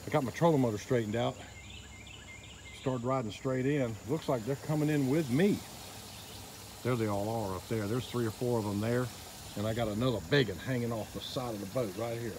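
A man filming from a small boat on a river outdoors, giving excited, quiet running commentary into his handheld phone. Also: mid-range voice, fairly quick. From Northeast Florida. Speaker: fishing vlogger. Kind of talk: casual narration while filming. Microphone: handheld phone camera. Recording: outdoor noise, insects behind.

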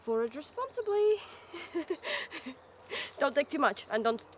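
A woman's voice: high-pitched, very animated, slow, fairly bright tone, a little hiss or hum. A woman in her thirties chatting casually in a vlog, giggling and thinking aloud as she goes. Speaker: homesteading vlogger. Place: woodland outdoors, then home kitchen. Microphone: camera mic, handheld close then fixed camera.

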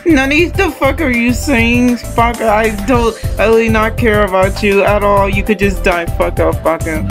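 Guy doing a flustered sweary strawberry schoolgirl from a visual novel, high singsong blurty voice, music playing. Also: moderate pace. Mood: indignant, flustered. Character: bashful, foul-mouthed tsundere strawberry schoolgirl, easily flustered. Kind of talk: visual novel read-aloud among friends.

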